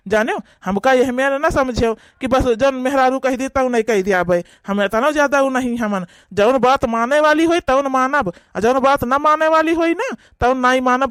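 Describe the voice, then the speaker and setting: High-voiced indignant young brother acted by a man. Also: a bit bright, clean tone, dry recording, clean studio sound. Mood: defiant. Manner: argumentative, scolding, rhetorical questions. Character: indignant young brother protective of his sister. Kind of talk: rural family cartoon dialogue.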